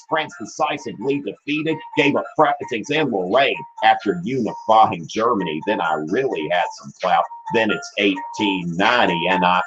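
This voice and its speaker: A man's voice: medium pitch, fairly bright tone, highs cut off, natural voice. A man rapping in rhyme as a boastful, iron-willed older Prussian chancellor in an educational history rap.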